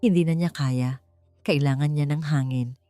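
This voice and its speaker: A woman with a Filipino accent narrating a scripted romance story in a dramatic, deliberate way. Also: low voice, mellow, clean tone, no echo. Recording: music behind the voice. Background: background music.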